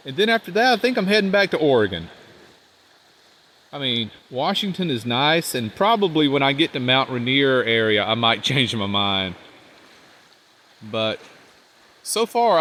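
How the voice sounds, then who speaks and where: Stuffed-up nasal guy vlogging outside by a river, easygoing rambling chat, mid pitch. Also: slight background noise. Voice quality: nasal, congested. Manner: rambling, easygoing, trails off quietly. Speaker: van life vlogger. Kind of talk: casual vlog talk while walking. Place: outdoors by a riverbank park.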